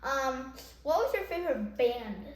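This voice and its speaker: A woman interviewing a guest casually, asking questions and giving short, slow reactions. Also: high-pitched, expressive. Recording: echoey room with clear background noise.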